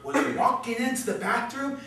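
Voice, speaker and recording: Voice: high voice, animated, measured pace, fairly bright tone. A performer playing a mocking, foul-mouthed male inner voice, taunting and belittling in a theatrical monologue. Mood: sneering taunts. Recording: distant, echoey room, faint noise.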